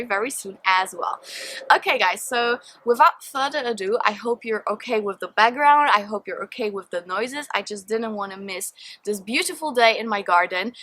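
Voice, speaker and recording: A woman's voice: medium pitch, sharp tone, a little hiss or hum. A young woman from Poland, whose first language is Polish, chatting openly to her viewers in a casual Q&A recorded outdoors in a garden, with many fillers. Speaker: vlogger. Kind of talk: casual Q&A chat to camera.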